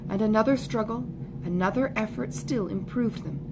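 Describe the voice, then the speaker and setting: A woman's voice: a little high, normal speed, noisy background. A woman giving a scripted literary narration as an audiobook reader.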